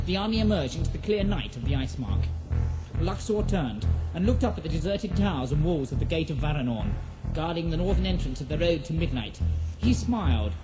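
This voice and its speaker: A man's voice: on the high side, rough recording. A man narrating a fantasy audio drama in a solemn, theatrical storytelling manner.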